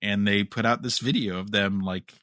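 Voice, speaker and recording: A man co-hosting a fan podcast, talking casually and a bit haltingly as he thinks aloud. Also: lowish voice, steady tone, fairly bright tone, no room sound, studio quality. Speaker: podcast co-host.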